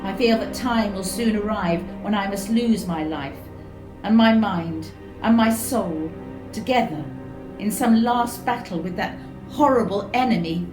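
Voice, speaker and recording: A woman reading the fearful words of a sick, nervous young man in a solemn, measured way. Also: mid-range voice, natural voice. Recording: roomy echo with faint music.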